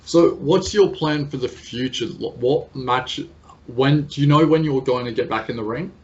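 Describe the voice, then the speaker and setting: A man's voice: medium pitch, moderate pace, a little mellow, muffled recording. A man with an Australian accent, interviewing in a casual, chatty and opinionated way.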